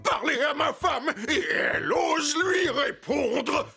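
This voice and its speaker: A blustering, paranoid cartoon king, high-pitched and swooping wildly, with a gravelly crackle. He is theatrical, hot-tempered and full of self-pity, over prominent music. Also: very animated, slow pace, sharp tone, raised voice, heavily acted. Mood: suspicious, outraged. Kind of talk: French children's cartoon acting.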